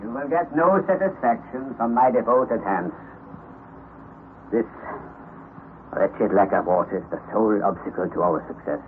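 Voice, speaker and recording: An actor playing a stubborn, grandiose elderly professor-explorer, declaiming in a vintage radio drama. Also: male voice, lowish voice, normal speed, pauses often, lightly acted. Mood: commanding. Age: older. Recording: tinny old radio broadcast, faint hiss.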